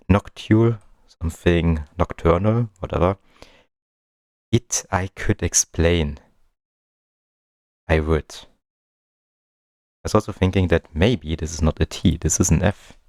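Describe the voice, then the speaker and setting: Deep voiced guy doing a casual lets play, kinda mumbling to himself as he works stuff out, dry sound. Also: a little mellow, no room sound. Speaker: game streamer, let's-player. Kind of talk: casual gaming commentary, thinking aloud.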